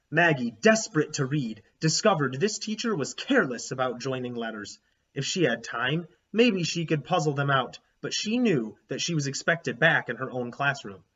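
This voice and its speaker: A man's voice: mid-range voice, a bit bright, slightly rough. A man reading a children's book aloud like a teacher, expressively and with explanatory asides. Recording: slightly dull, lo-fi sound.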